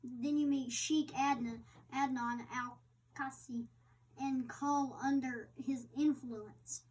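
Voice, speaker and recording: High-voiced woman reading haltingly, off-mic. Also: fairly even intonation, a little mellow. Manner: halting reading with stumbles over words. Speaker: interviewer in a read-aloud interview. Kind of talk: reading a printed interview aloud. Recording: distant microphone.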